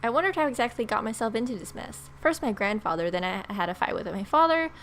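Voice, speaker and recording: A woman's voice: fairly high pitch, lively intonation, fast talker, a bit bright, a little hiss or hum. A young adult woman reading a visual novel aloud as a let's play host, with playful, wry asides.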